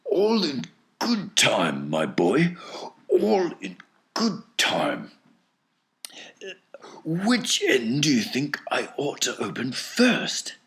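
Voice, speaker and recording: A man voicing an excitable old grandfather over sixty who talks in breathy, trembling whispers. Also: medium pitch, very slow, long pauses, voice pushed up, exaggerated voice. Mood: excited whisper.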